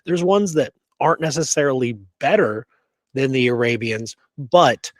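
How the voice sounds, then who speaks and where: A man's voice: medium pitch, expressive, moderate pace, no echo. A man chatting casually and enthusiastically as a co-host, peppering his talk with slangy, friendly words. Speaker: co-host of a history talk show. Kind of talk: casual chat.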